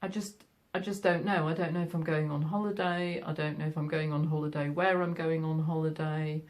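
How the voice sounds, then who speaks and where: A woman's voice: deep voice, fairly even intonation, a little fast, a little mellow. A middle-aged woman with a Yorkshire accent, chatting casually to camera about her sewing from her sewing room at home, friendly and full of fillers. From Yorkshire, England. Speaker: sewing vlogger.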